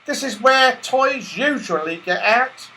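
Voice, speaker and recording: A man voicing a loud, brusque railway porter in a children's storybook, calling out sharply. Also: very high-pitched, expressive, takes it slowly, pitched above the performer's own voice, heavily acted, low-quality recording. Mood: brisk, insistent.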